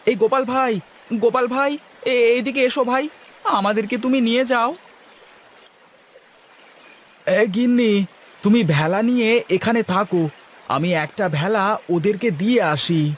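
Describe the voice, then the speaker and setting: Man voicing a high-pitched, helpful village husband. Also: unhurried, a little hiss or hum. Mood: urgent calling. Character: kindly, practical village husband, helpful to his neighbours. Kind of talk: Bengali moral-story cartoon dialogue.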